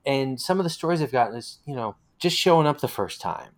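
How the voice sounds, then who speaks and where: An American man speaks as the host of a relaxed, conversational interview. His pitch is average for a man. He is affirming and encouraging, and tends to talk his way around a point at length. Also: brisk.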